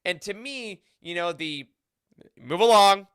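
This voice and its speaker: A man chatting casually as a podcast panelist, animated and slangy in a fan roundtable discussion. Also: high voice, a little slow, smooth, no echo, studio quality.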